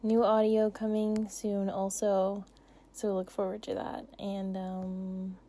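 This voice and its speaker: Young woman chatting casually, mellow voice. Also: mid-range voice, monotone, slow pace. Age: young adult. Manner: giggly, rambling, informal. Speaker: content creator answering subscriber questions. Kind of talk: casual Q&A chat.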